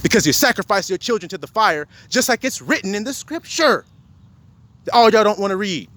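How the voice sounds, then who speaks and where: A man's voice: sits high, animated, fairly quick, sharp tone. A man delivering a profane, confrontational rant as a self-styled preacher, quoting scripture and firing rhetorical questions at his listeners. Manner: confrontational, fires rhetorical questions, heavy swearing.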